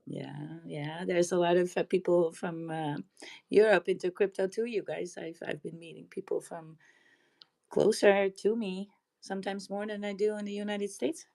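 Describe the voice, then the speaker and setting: Chatty woman with a lowish voice. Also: fairly even intonation, moderate pace, creaky, dark tone. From Netherlands. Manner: bubbly and chatty, laughs often, trails off mid-sentence. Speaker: host of a live crypto audio space. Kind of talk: casual live-stream chat.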